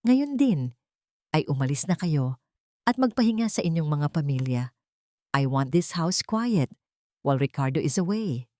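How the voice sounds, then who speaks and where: A woman's voice: low-pitched, big pitch swings, measured pace, pauses often, warm tone, dry recording, clean studio sound. Narrator as villainous rich fiancée: smug, commanding woman, mocking, audio drama. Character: cruel, domineering rich fiancée, falsely sweet, mocking. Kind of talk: Tagalog audio drama storytelling.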